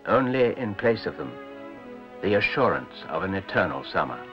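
A man giving poetic scripted narration in a measured, literary delivery. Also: low-pitched, big pitch swings. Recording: muffled old film soundtrack with music. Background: music.

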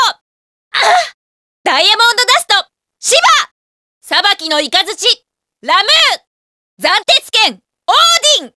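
A woman's voice: very high voice, no echo, clean studio sound, full character voice. A woman playing a spirited, determined young female martial artist in a video game, calling out punchy battle lines. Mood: intense, commanding. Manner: energetic, punchy shouts and short battle cries.

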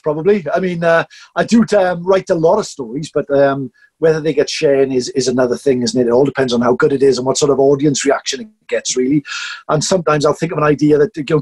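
Husky middle-aged Welsh man, dry close sound. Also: on the high side, fairly even intonation, fast, a little mellow, no room sound. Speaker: podcast guest, performance storyteller. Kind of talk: casual interview chat.

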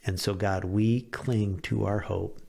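A middle-aged American man speaks as a pastor giving a devotional. His voice has a gravelly creak, and he phrases things earnestly and deliberately, leaning on key words. He is close to a studio microphone in a small room. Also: fairly low pitch, fairly even intonation, a little mellow. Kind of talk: devotional sermon to camera. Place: small home office, indoors. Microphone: close studio microphone on a boom arm.

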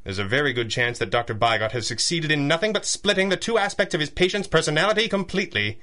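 Forceful, grave masked hero. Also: male voice, a little high. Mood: shrewd, urgent. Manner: measured, authoritative, deliberate. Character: masked male crime-fighting hero, grave, shrewd, commanding. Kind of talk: 1930s-style radio drama acting.

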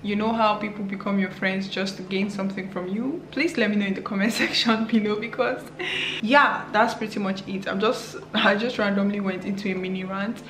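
A woman's voice: mid-range voice, fairly even intonation, fairly quick, fairly bright tone. Woman in her twenties, chatty vlog talk, arm's-length camera, light background hiss. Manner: chatty, laughs often, talks straight to viewers. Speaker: vlogger. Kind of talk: casual vlog chat to camera. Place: apartment indoors, later outdoors in a city. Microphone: handheld camera at arm's length.

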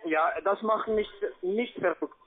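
A man's voice: high-pitched, unhurried. A man with a foreign accent, speaking as a non-native in broken phrasing, mocking and provoking in a phone argument. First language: not German. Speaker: call-centre scam caller. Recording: narrow, tinny phone-line sound.

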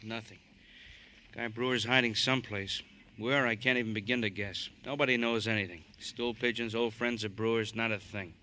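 An actor playing a plain-spoken, puzzled police sidekick in a radio crime drama, blunt and questioning. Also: male voice, lowish voice, steady tone, moderate pace, bright, forceful tone. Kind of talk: old-time radio crime drama acting. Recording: hissy old-time radio recording.

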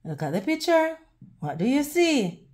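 Slow, high-voiced woman reading to children. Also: big pitch swings, a little mellow. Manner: slow, deliberate, teacherly, pausing to ask questions. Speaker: children's read-aloud host. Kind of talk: reading a picture book aloud to children.

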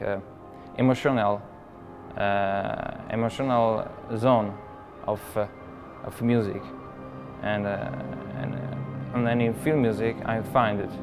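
A young man from Poland, speaking hesitantly with many fillers in an indoor interview. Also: fairly low pitch. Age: young adult. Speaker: film music competitor. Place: indoor room with curtains. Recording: music playing underneath.

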